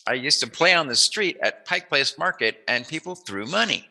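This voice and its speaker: A man's voice: fairly high pitch, animated, normal speed, gravelly, sharp tone. A man hosting an interview in a casual, joking way, with a playful, rambling, musing manner. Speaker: interview host.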